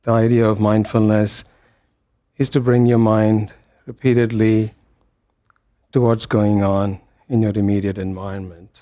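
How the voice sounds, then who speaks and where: Guy teaching meditation, lowish mellow relaxed voice, gentle, unhurried, lots of pauses. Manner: gentle, unhurried, pausing between short phrases. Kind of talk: guided meditation. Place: meditation hall.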